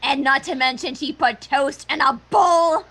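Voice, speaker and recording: A woman's voice: high voice, unhurried, a bit bright. A woman giving casual, emphatic commentary on a story, often exclaiming as a co-reader. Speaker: fan fiction co-reader and commentator.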